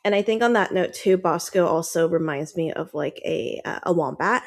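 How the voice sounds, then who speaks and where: A woman's voice: lowish voice, normal speed, creaky. A woman chatting casually as a podcast guest, rambling and affectionate, with many fillers. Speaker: podcast guest, dog owner. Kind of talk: casual chat.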